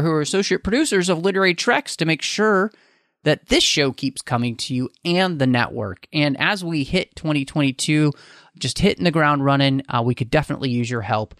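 A man's voice: fairly high pitch, a bit bright, no room sound, studio quality. A man hosting a casual panel podcast, speaking in an enthusiastic, emphatic way. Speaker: podcast host.

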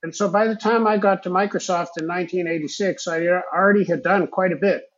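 Older man, husky, remote-recorded. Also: high voice, fairly even intonation, brisk, dull audio. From Israel. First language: Hebrew. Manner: rambling, reflective, with fillers. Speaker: guest. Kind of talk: conversational storytelling.

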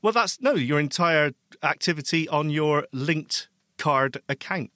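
A man's voice: a little high, measured pace, bright, forceful tone, no echo, clean studio sound. A man from the UK co-hosting a podcast from a spare room at home, chatty and self-deprecating. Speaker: podcast co-host. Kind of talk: casual chat. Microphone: remote recording.

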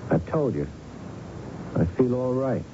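A man's voice: mid-range voice, expressive, takes it slowly, heavy background noise. An actor playing an anxious, guilt-ridden man in a radio drama, hesitant, stammering and easily rattled. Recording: muffled radio broadcast with music.

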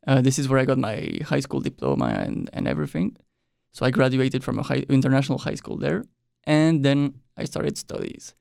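A man's voice: medium pitch, fairly quick, creaky, dry recording. A young man who grew up in Mexico, chatting easily as an exchange-student guest on an interview podcast. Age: young adult. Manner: relaxed, loose with frequent "like" and quiet trailing ends. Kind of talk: casual interview chat. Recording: dry close studio mic.

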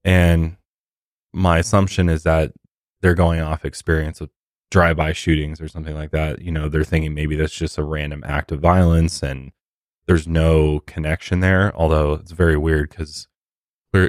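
Podcast co-host, American man, extremely deep voice, casual commentary, dry close recording. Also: little pitch movement, normal speed, darkish tone, no room sound.

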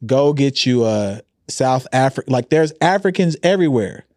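A man's voice: medium pitch, lively intonation, moderate pace, darkish tone, no room sound, studio quality. An American man co-hosting a podcast, chatting casually in slang with a teasing manner. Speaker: podcast co-host. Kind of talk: casual banter.

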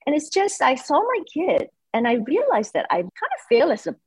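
A woman's voice: high-pitched, moderate pace, no echo. A woman from Malaysia, talking earnestly as a guest founder in a casual interview. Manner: earnest, animated, slightly non-native English grammar.

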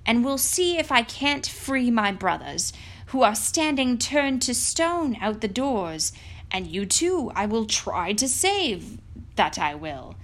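A woman voicing a young male prince, raised higher and slower, brave despite his fear. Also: fairly bright tone, full character voice.

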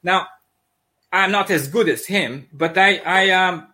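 A man with a thick Serbian accent speaks in a high-pitched voice over a video call. He is a comic artist guest telling stories in an animated, joking way, often restarting his sentences. The talk is loose and friendly. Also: slow pace, a little hiss or hum.

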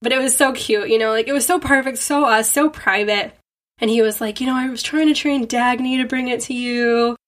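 A woman's voice: on the high side, fairly even intonation, fairly quick, a bit bright. Young woman, stuffy nose from a cold, giggly, rambling casual chat. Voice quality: nasal, stuffy. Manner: bubbly, giggly, rambling with lots of "like". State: congested from a head cold. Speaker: podcast host. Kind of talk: casual chatty monologue.

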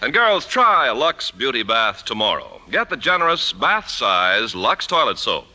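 A man's voice: medium pitch, wildly swooping pitch, measured pace, pushed and bright, in a large hall. A man announcing in scripted 1950s radio advertising style.

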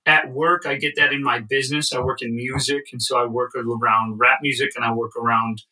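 Podcast co-host, male, Midwestern, very bright tone, a bit off-mic, animated storyteller. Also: medium pitch, fairly quick, distant mic, rough recording. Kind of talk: casual podcast chat.

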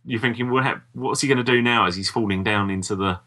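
A man's voice: on the low side, quick pace, bright, forceful tone. A man talking casually as co-host of a review podcast. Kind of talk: casual review chat about audio dramas.

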